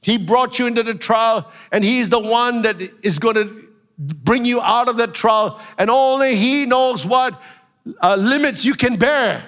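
Nasal-sounding man preaching a sermon. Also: very high voice. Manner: preaching, rising to shouts and slowing for emphasis. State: has a flu. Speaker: pastor.

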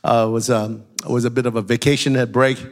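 A man preaching a sermon as pastor, a little nasal from a flu, building up to shouted, slowed-down emphasis. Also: medium pitch, flat delivery. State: has a flu.

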